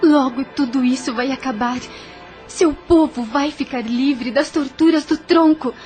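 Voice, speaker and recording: A woman playing a compassionate young heroine of an audio novel. Her voice is very high, impassioned and defiant, with music behind it. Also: measured pace, a little mellow. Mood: anguished resolve. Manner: impassioned, dramatic.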